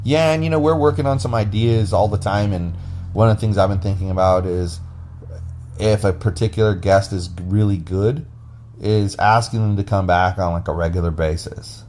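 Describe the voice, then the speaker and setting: A man hosting a casual podcast with his wife, talking in a deep, bassy voice and rambling loosely with restarts and fillers. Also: moderate pace, a little mellow, a little hiss or hum. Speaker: podcast host. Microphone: phone app recording.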